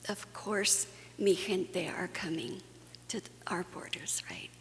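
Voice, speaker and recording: Slow-talking woman from Guatemala in a hall. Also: on the low side, a bit bright, whispered. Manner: earnest, conversational, with frequent fillers and restarts. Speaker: conference speaker. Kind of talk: plenary talk to a faith audience. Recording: long hall reverb, faint hum.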